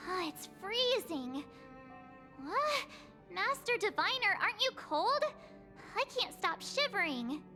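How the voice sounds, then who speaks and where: Perky teenage girl, game character, very high voice, exclamatory, slightly slow pace, prominent music behind. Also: sing-song, sharp tone, heavily acted. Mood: pained, indignant. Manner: bubbly, exclamatory, dramatic. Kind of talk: animated game voice acting.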